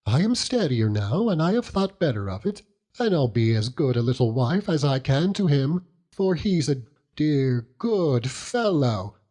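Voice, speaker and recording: Bashful, affectionate young working girl performed by a male reader, gentle storybook character, slow earnest delivery. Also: medium pitch, very animated. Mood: shy, happy.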